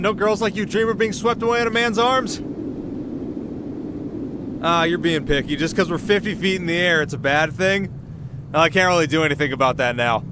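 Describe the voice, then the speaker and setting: A man's voice: high voice, close to an everyday voice. A man playing a cocky, playful young winter spirit in an ASMR roleplay, talking casually with sarcastic, teasing banter. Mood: teasing, brisk. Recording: clear outdoor ambience noise behind voice.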